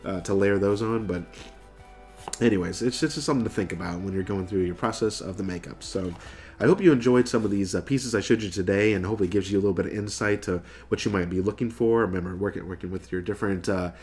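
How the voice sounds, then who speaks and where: A man's voice: low-pitched, fast talker, darkish tone, faint music behind. An American man in his thirties, nasal from getting over a cold, casually explaining things to camera as a video host. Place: furnished room indoors.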